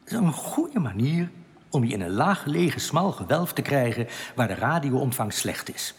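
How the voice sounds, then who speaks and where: Deep reverb surrounds an alien outcast in a science-fiction radio play. He has a mid-pitched male voice with lively swings, and he explains himself deliberately, earnest and reassuring with a quiet bitterness. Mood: calm, reassuring. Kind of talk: radio drama acting. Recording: long cavernous reverb.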